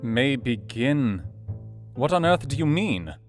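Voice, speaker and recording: A man playing a serious, anxious Victorian doctor, narrating his diary in a measured, formal literary manner for an audio drama. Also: medium pitch, sing-song. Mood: alarmed. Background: faint plucked guitar music.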